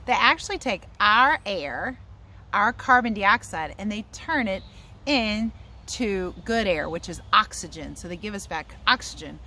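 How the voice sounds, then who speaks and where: A woman teacher giving a chatty, expressive read-aloud lesson for young children, speaking outdoors. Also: mid-range voice, a little slow. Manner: chatty, expressive asides and questions to listeners. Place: outdoors in a yard.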